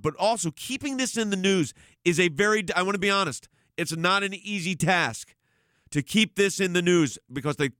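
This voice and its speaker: A man's voice: on the high side, a bit bright, clear, resonant tone, dry recording, studio quality. A man hosting a talk radio show, emphatic and rambling with frequent restarts. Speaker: radio host.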